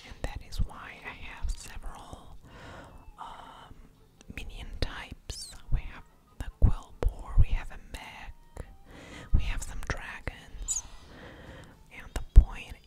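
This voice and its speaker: Whispering woman, slow ASMR game commentary. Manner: soft whispering, unhurried, with mouth sounds. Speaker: host of an ASMR gaming channel.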